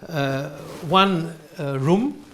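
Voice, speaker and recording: A man with a French accent answering interview questions in halting, unscripted non-native English. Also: fairly high pitch, expressive, a bit bright, slight background noise. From France. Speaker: interview guest, real estate company owner. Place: in his apartment.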